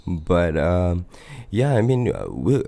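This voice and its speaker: A man's voice: low voice, sing-song, slow pace, vocal fry, warm tone, no room sound. A man in his thirties with a Singaporean accent, talking in a rambling, laid-back solo podcast monologue full of fillers and swearing. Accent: Singaporean English. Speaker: podcast host and band vocalist.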